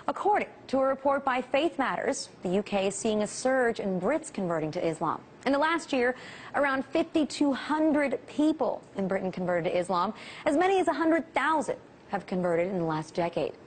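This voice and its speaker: A woman's voice: sing-song, normal speed, low-quality recording. A woman presenting a scripted news segment as the host of a news show. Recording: faint noise with low rumble.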